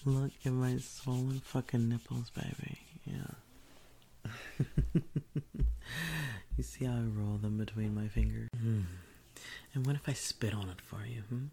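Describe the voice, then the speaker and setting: A woman doing an intimate whispered audio roleplay, teasing and commanding in a breathy whisper close to the mic. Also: very deep voice, dark tone, slight background noise.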